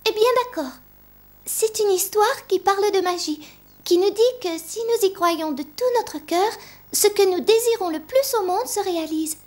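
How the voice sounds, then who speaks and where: Very high-pitched gentle young schoolgirl. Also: normal speed. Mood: earnest, dreamy. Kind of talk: French cartoon dub acting. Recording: music playing behind the voice.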